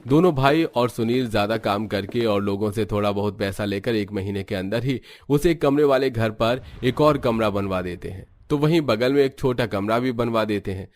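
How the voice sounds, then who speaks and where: A man's voice: fairly low pitch, normal speed, hardly any pauses. A man working as narrator, reading a scripted Hindi cartoon family story.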